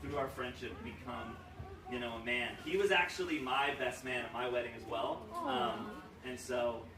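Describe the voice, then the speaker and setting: A man's voice: medium pitch, moderate pace. A young man from Romania giving a joking, rambling best-man toast full of fillers, at a wedding reception. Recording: echoey room, far from mic, noisy.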